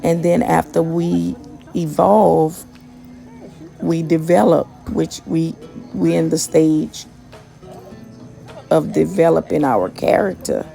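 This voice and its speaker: A woman giving a casual motivational talk in a monotone, conversational way with many fillers. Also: low-pitched, slow, vocal fry, long pauses, faint music behind. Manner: monotone, self-described. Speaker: motivational vlogger and gig worker.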